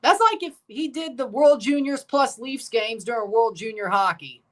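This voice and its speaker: A man streaming a live match watchalong, chatting casually and calling goals with excitement while guarding a strained voice. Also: very high voice, moderate pace, recorded from a distance.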